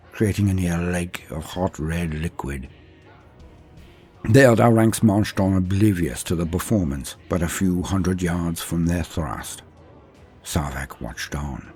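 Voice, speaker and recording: Male narrator, low and husky, grave theatrical delivery of war fantasy, music underneath. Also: darkish tone. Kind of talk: dramatic scripted fantasy battle narration.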